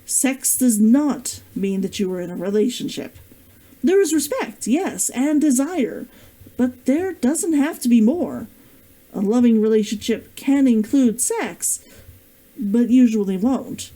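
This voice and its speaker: A woman reads the part of a guarded fantasy adventurer, a man with a gruff temper and a terse, earnest way of explaining himself. The voice is mellow and swings widely in pitch, in storybook style. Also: lively intonation, unhurried, rough recording. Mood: earnest, insistent.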